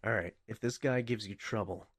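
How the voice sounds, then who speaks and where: A male headquarters dispatcher for a video game, with a lowish voice in a dry, close recording. He is bossy and easily exasperated. His briefings are deadpan and official, laced with goofy sarcasm. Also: moderate pace, no room sound. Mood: impatient, stern.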